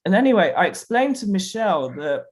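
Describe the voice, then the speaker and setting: A man's voice: high-pitched, very animated, fairly quick. A man speaking as a panelist on a video call, chatty and laughing easily, with lots of fillers. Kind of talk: casual panel discussion.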